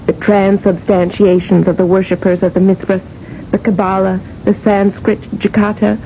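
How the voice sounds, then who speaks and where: An American actress playing an efficient, unflappable corporate secretary in a radio drama, reporting in a formal, matter-of-fact way. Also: female voice, mid-range voice, little pitch movement, moderate pace, warm tone, close to an everyday voice. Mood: crisp, businesslike. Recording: dull vintage radio recording, faint noise.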